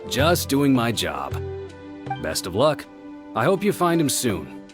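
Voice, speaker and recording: An actor playing a helpful male village guard who speaks in a friendly, unhurried way and thinks aloud. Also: mid-range voice, wildly swooping pitch, music in the background.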